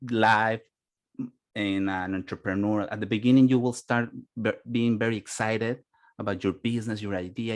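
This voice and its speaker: A native Spanish-speaking man with a Spanish accent gives an informal webinar presentation as a business consultant, searching for words in halting English. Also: fairly low pitch.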